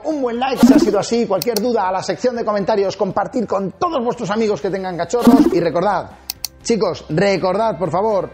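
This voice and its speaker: A man in his forties from Spain hosting a dog-training video, talking to camera with animated gestures and emphatic swings in pitch. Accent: Spanish from Spain. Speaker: dog trainer and channel host. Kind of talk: energetic tips video to camera. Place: small indoor studio set with shelves. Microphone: lapel.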